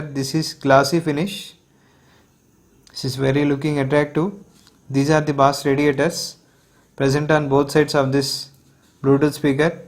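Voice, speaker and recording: A man with an Indian accent narrates a product walkthrough in a methodical, explanatory manner. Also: a little high. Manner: explanatory, methodical, repetitive phrasing. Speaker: product reviewer.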